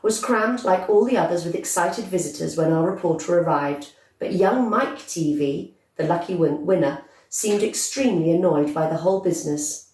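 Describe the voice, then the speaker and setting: A kindly father in a storybook, read by a woman, announces the newspaper deliberately. The sound is echoey, set back from the microphone. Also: lowish voice, a little mellow, close to an everyday voice. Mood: deliberate, announcing. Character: kindly, poor father reading the newspaper aloud. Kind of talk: storybook character voice. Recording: echoey room, distant microphone.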